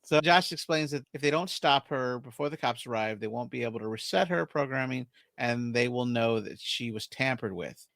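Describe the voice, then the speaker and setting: Male podcast host, mid pitch, dry close sound, easygoing plot recap. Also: moderate pace, vocal fry, few pauses, a bit bright, dry recording. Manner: recounts plot scene by scene, laughs often. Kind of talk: casual movie recap chat.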